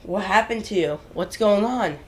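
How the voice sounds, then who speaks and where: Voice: mid-range voice, moderate pace, lightly acted. A performer in a dramatic reading playing a concerned, loyal companion with an earnest, plain delivery. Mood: worried. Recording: noisy room ambience.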